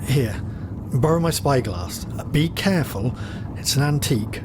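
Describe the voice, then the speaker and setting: An actor playing a nervous, fussy gentleman doctor in an audio drama, polite and precise. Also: mid-range voice, lively intonation, measured pace, close to an everyday voice. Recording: clear vehicle noise behind.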